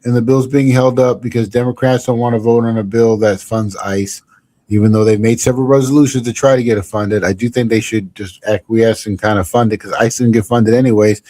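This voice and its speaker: A man's voice: lowish voice, fairly even intonation, a little fast, mellow. A man hosting a comedy podcast, chatting casually in a rambling, joking way. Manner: rambling, jokey, restarts a lot. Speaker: podcast host.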